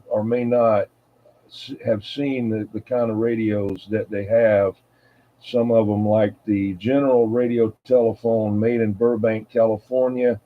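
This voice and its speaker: A man chatting casually and folksily in a meandering way as a radio hobby show host. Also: mid-range voice. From United States East Coast. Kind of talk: casual rambling hobby chat. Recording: muffled, phone-like narrow sound.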